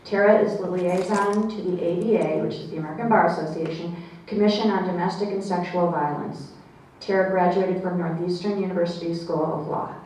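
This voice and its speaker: A woman's voice: on the low side, fairly even intonation, a little fast, darkish tone, slight background noise. A woman at a community meeting reading out a guest's introduction, with a few slips and asides. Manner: reads a formal bio, with small slips and asides. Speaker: meeting organizer introducing a speaker. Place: meeting room. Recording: echoey room, voice from far off.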